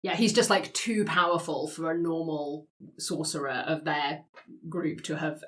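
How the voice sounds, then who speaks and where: A woman's voice: sits low, fairly even intonation, measured pace, a bit bright, a little hiss or hum. A woman chatting casually and enthusiastically about a roleplaying game as one of its players. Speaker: tabletop roleplay podcast player.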